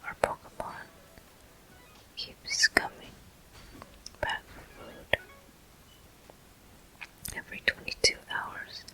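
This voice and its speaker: A breathy, unvoiced whisper narrates gameplay as an ASMR host would. The delivery is very gentle and slow, with long, soothing phrasing. The sound is crisp and very bright, all air and no voiced tone. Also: rough recording. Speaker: gaming host. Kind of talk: whispered ASMR game commentary.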